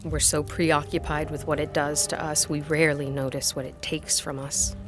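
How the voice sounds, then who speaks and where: Give a gothic audio drama heroine, played by a woman: a principled, curious historian with a lowish voice. She speaks in formal, literary sentences and sounds earnest, over faint music. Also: level tone, moderate pace, close to an everyday voice. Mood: gentle, thoughtful. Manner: articulate, formal, literary phrasing.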